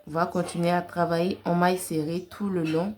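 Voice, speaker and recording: A woman's voice: fairly low pitch, flat delivery, a little fast, darkish tone. A young woman giving a casual, chatty crochet tutorial in an informal, colloquial way. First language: not French-only, has a self-described slight accent. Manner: informal, girlfriend-to-girlfriend address with colloquial tags.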